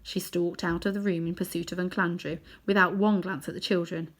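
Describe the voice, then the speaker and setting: A woman reading a children's novel aloud in an expressive storytelling manner. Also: fairly low pitch, fairly quick. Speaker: storybook reader.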